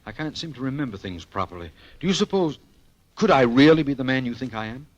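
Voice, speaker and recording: A man acts out a smooth-talking radio detective with a mid-pitched voice. His delivery is highly animated and ironic, full of bantering turns and flustered little hesitations. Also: quick pace, dull audio, close to an everyday voice. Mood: feigned confusion. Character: wry, smooth-talking male amateur sleuth in a fix. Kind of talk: old-time radio mystery acting.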